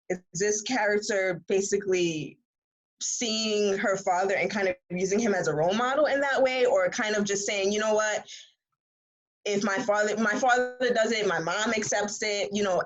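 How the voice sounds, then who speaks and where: A woman's voice: mid-range voice, dull audio. A woman in a casual book discussion, talking in slangy, conversational speech and acting out imagined reactions. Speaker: author and reader on a book discussion panel.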